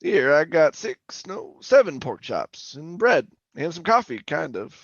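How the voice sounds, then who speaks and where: An actor playing a garrulous middle-aged former oil-rig roughneck, telling his tale in a rambling, folksy radio drama manner. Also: a little high, sing-song, measured pace, no room sound, muffled recording, full character voice. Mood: friendly, easygoing. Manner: rambling, folksy, colloquial storytelling. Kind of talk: old-time radio drama monologue and dialogue.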